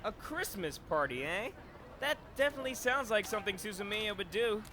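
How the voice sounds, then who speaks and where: Man as very high-voiced goofy anime schoolboy. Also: expressive, fairly quick, background noise. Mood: curious, dismissive. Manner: smug, hammy, mocking. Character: goofy, boastful lovestruck teenage schoolboy. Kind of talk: anime fandub acting.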